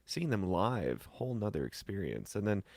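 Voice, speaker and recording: A man with a fairly low voice chats as a guest in a close, dry recording. He is laid-back and colloquial, telling personal stories in loose pieces and pausing mid-thought. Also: big pitch swings, gravelly, a little mellow, dry recording. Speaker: guest musician and voice talent. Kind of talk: casual chat, personal anecdotes.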